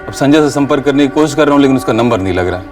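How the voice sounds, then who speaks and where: Male henchman, acted, sly, insistent, medium pitch, TV drama, slightly distant mic. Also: lively intonation, rapid-fire, natural voice. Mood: ingratiating. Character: sly male gang henchman, smooth-talking schemer. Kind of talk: TV crime drama acting. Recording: distant mic under loud music.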